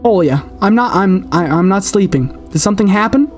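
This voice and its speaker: A man's voice: high voice, animated, a little fast, faint music behind. A man voicing an anxious boy, a protective older brother in a horror game. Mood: nervous. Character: anxious boy reassuring his little sister.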